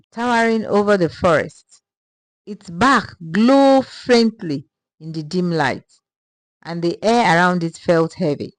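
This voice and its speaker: A woman narrating a scripted folktale in a measured, dramatic storytelling manner. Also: on the low side, no echo, poor audio.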